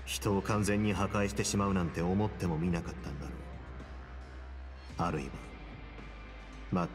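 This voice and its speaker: A man playing a cool, brooding young fortress warden in a game, speaking in a measured, grave, self-possessed way. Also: deep voice. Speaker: game character. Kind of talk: dramatic game dialogue.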